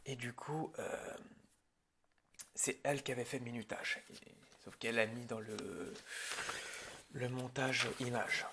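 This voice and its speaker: A man improvising an ASMR roleplay as a film director, talking in a halting, rambling way that often drops toward a whisper. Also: mid-range voice, pushed and bright, a little hiss or hum.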